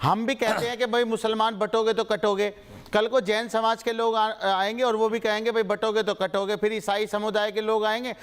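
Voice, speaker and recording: A man's voice: sits high, flat delivery, moderate pace, smooth. A man pressing his point as a debate panelist. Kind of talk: political argument.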